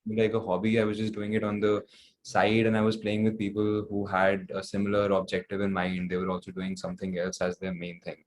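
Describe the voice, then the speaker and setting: A man's voice: lowish voice, completely flat delivery, fairly quick, a little hiss or hum, rough recording. A young man from New Delhi with an Indian accent, giving casual, somewhat hesitant interview answers as he thinks aloud. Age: young adult. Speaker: guest, singer-songwriter.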